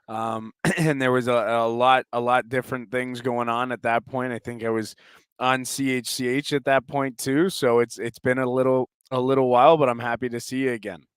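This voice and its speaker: A male sports announcer with a clear, resonant voice at a middle pitch speaks as a guest. He gives detailed, conversational analysis, and the recording is dry with little room sound. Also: flat delivery, moderate pace, dry recording. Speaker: hockey play-by-play announcer, show guest.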